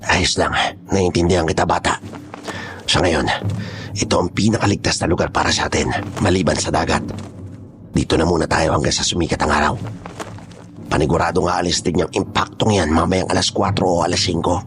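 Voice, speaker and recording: A man playing a gruff, blunt but protective older man in a horror audio drama. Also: low voice, level tone, brisk, music in the background, lightly acted. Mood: steady, reassuring.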